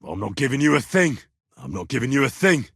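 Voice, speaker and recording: A young medieval man from a video game, stubborn and cheeky. His voice is husky and sits at a middling pitch, recorded close and dry. He talks in a blunt, earthy, conversational way. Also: very animated, brisk, a bit bright, no echo, heavily acted. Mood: defiant. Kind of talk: video game character dialogue.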